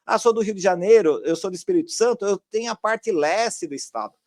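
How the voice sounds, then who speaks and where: A male interview host talks to his guest and viewers in a fairly high voice. The sound is dry, and his conversational delivery is halting, with repeated words as he builds each point. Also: expressive, a little mellow, dry recording.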